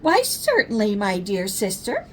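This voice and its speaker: A woman's voice: mid-range voice, huge pitch swings, a little slow, a little hiss or hum. A woman voicing a young sister bear cub in a storybook, with exaggerated courtesy. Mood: prim, polite.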